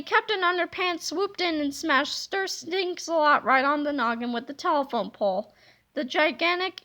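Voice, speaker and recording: Bright-voiced woman reading a kids' book. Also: sits high. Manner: lively expressive narration. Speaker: reader. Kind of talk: children's book read-aloud.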